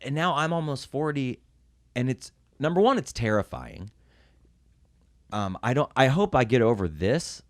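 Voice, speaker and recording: A man's voice: medium pitch, lively intonation, moderate pace, no echo, studio quality. A man in his late thirties chatting casually as a podcast host, in a joking, digressive and sweary way. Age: late 30s.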